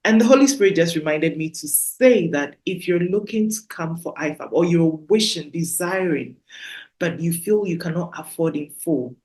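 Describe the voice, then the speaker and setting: A woman's voice: on the low side, lively intonation, normal speed, a little mellow. A woman hosting a podcast, speaking in a gushing, heartfelt, faith-filled way to her listeners. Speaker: podcast host. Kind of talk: effusive interview chat.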